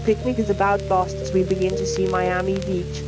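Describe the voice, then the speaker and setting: A woman's synthetic AI voice giving scripted educational science film narration in a measured, explanatory manner. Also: medium pitch, flat delivery, low-quality recording. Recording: music playing under the voice. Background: music.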